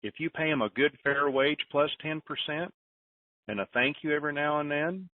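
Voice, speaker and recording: A man's voice: on the high side, normal speed, no echo. A man hosting a call-in conference, talking informally in a folksy way and handing out advice. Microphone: telephone conference line. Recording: muffled phone line, distant mic.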